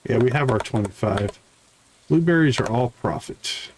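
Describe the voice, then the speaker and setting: A man's voice: medium pitch, animated, normal speed, slight background noise, poor audio. A man giving casual live game commentary, thinking aloud in short fragments. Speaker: game streamer.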